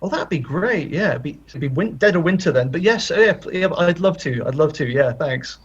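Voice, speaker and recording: A man speaking as an interview guest in casual chat, halting and repeating words as he goes. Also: a little high, animated, slightly rough, dull audio. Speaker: guest writer.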